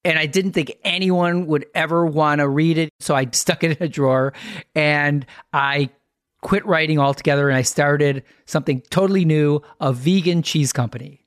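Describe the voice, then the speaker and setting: Male American podcast host, middle-aged, wry and self-deprecating, higher voice with a dry, roomless sound. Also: steady tone, normal speed, no room sound. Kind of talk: conversational storytelling.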